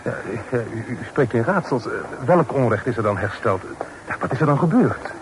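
An actor in a Dutch radio play, playing a courteous young gentleman who is uneasy and a little hesitant but composed. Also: medium pitch, expressive, a little fast, lightly acted. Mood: puzzled. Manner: courteous, hesitant, somewhat puzzled. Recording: noisy old radio play recording.

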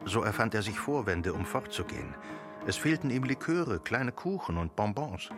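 An actor playing the narrator of a radio play, reading literary prose in a measured way. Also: male voice, lowish voice, sing-song. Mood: measured, subdued. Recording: music with sitar behind.

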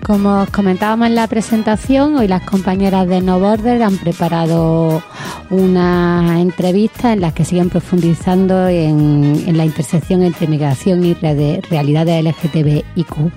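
A woman's voice: fairly low pitch, fairly even intonation, moderate pace, warm tone, soft background music. A woman with an accent from Spain presenting a radio magazine show from a script. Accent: Spanish from Spain.

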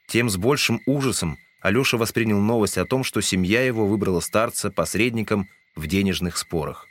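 A man's voice: on the low side, a little fast. A man reading scripted literary narration as the narrator of an audio drama.

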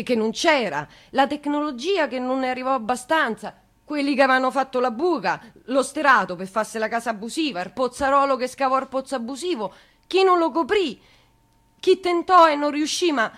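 An actress playing a working-class woman in a theatrical radio monologue, haunted by memory, halting and repeating herself as she searches for words. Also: on the high side, fairly bright tone. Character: working-class Italian woman haunted by remembering a national tragedy. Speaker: stage actress performing a monologue.

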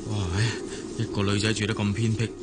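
A man's voice: on the low side, level tone, brisk, heavy background noise, close to an everyday voice. An actor with a Hong Kong Cantonese accent plays a down-to-earth, sceptical young man in a radio drama. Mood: grumbling. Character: down-to-earth young grandson, loyal and sceptical friend.

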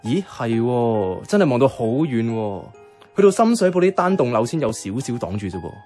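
An actor as a wry young man with a Hong Kong Cantonese accent, half narrating and half questioning his companion. His mid-pitched voice moves in a lively way, bemused and reflective, over faint music in a radio drama. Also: moderate pace, lightly acted. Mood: puzzled. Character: bemused, reluctant young male companion narrating a night walk.

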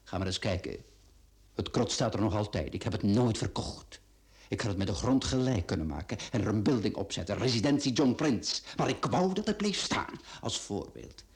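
A man's voice: on the low side, lively intonation, moderate pace, darkish tone. A man acting a ruthless, condescending self-made business tycoon in a radio play, with a Flemish accent and a mocking, commanding way of talking. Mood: persuasive, patronising.

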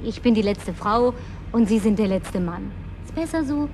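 A woman's voice: medium pitch, expressive, moderate pace, dark tone, a little hiss or hum, lightly acted. An actress in a radio play voicing a brusque, sarcastic, bossy woman who survived in a deserted city. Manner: snappish, mocking, bossy.

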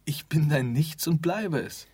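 An actor playing Nothingness as a simple, unflappable, teasing companion in a theatrical radio play. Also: male voice, a little high, animated, unhurried, darkish tone, husky, no echo, full character voice. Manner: naive, teasing, matter-of-fact.

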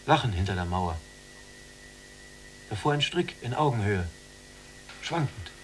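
An actor playing a restless, dreamy younger prisoner longing for escape in a radio play, plain and measured. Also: male voice, fairly low pitch, animated, soft background music, rough recording. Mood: dreamy, haunted. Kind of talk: literary radio play acting.

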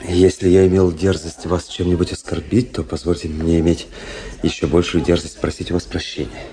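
An actor playing a cool, courtly young officer in a radio play, speaking with measured, polished politeness. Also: male voice, deep voice, level tone, warm tone. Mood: suave, courteous. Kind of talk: radio play narration and dialogue.

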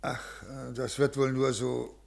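An actor playing an ailing, evasive civil servant in a 1950s German radio drama, speaking formally and haltingly. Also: male voice, medium pitch, little pitch movement, vocal fry, a little mellow. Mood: weak, evasive.

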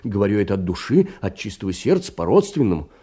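An actor playing a meek, kind-hearted, middle-aged poor clerk in an audio play, fussy, rambling and self-deprecating, with swooping emphasis. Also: male voice, on the low side, sing-song, fast talker, dark tone, breathy edge. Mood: flustered, wounded. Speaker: stage actor. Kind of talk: theatrical audio-play monologue of letters.

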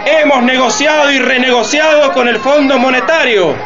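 An actor playing an impassioned, defiant president, delivering a declamatory, emphatic political speech in a radio drama. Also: male voice, extremely high pitch, moderate pace. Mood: grave, indignant. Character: impassioned male president rallying his nation in a defiant speech. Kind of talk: radio theatre political oratory. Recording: dull lo-fi, loud crowd and noise.

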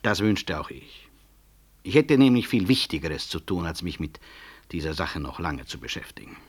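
An upright, unyielding medical professor in a 1960s radio drama. His low, husky voice is animated yet measured. He speaks with dry, pointed irony. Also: heavily acted. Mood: cool, ironic. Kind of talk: 1960s German radio play acting.

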